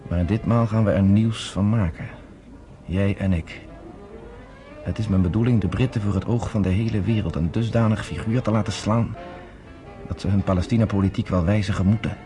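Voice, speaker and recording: Male actor as confident resistance leader, low voice, courteous, formal, measured, persuasive, radio play. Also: fairly even intonation, warm tone, faint music behind, lightly acted. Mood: calm, scheming. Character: confident, persuasive underground leader, former army captain, courteous.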